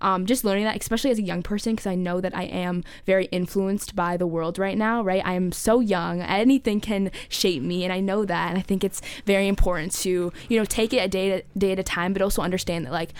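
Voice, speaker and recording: A woman's voice: mid-range voice, fairly even intonation, fairly quick, hardly any pauses, clear, resonant tone, no echo. A teenage girl speaking earnestly and reflectively as an interview guest, often tagging her points with "right?". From United States. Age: teens. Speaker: youth poet laureate guest. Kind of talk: casual interview talk.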